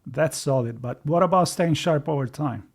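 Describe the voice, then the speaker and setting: Male ad co-presenter, slightly high pitch, scripted but conversational sponsor read. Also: moderate pace.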